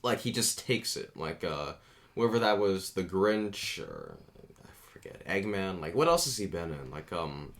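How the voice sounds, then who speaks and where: A man's voice: sits low, sing-song, a little slow. A man co-hosting a casual discussion, asking questions and objecting in a hesitant, self-correcting way. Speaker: show co-host.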